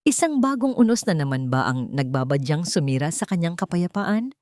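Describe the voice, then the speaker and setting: A woman's voice: lowish voice, very animated, brisk, a little mellow, no room sound, studio quality. A woman reading scripted, dramatic narration as a storyteller.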